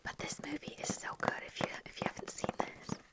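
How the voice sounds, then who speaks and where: A woman speaks entirely in a soft, breathy whisper, as an ASMR host would. She chats to her viewers in an informal, rambling way, with hardly any voiced sound in her words. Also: brisk. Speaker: video host talking to viewers. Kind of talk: whispered ASMR movie chat.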